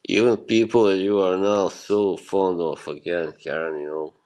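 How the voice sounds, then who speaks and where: A man's voice: deep voice, flat delivery, normal speed, darkish tone. A man from Bosnia, rambling and reassuring as he chats casually with viewers on his livestream and chuckles often. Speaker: livestream host. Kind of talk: casual chat with live viewers.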